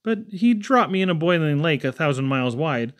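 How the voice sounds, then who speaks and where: Higher-voiced man reading children's poems theatrically. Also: expressive, brisk. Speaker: poetry reader. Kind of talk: expressive read-aloud of children's poems.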